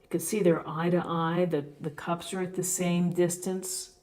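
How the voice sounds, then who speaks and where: A woman giving an unscripted tarot reading, explaining the cards with gentle encouragement and deliberate pauses between phrases. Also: lowish voice, flat delivery. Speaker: tarot reader, host.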